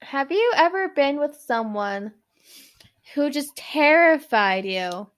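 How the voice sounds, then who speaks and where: Rambling woman podcaster, fairly high voice. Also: animated, takes it slowly, a bit bright. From New York. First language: Spanish. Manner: rambling, with many "like"s and stumbles over long words. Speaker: podcast co-host. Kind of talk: casual chat, retelling a true crime case.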